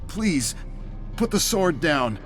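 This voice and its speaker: A man's voice: fairly high pitch, very animated, slow, fairly bright tone, faint music behind, pitched above the performer's own voice, exaggerated voice. Man acting an urgent, level-headed peacemaker. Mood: pleading. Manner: urgent, pleading, reasoning. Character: level-headed man calming an armed standoff. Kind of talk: dramatic audiobook character voice.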